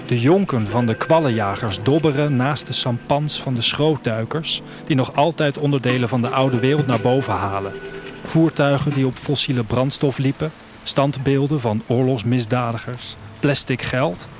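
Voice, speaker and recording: A male actor voices a restless, wistful traveller in an audio drama. He tells his story reflectively in the first person, with a mid-range male voice. Music plays clearly behind him. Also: medium pitch, normal speed, lightly acted. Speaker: narrator.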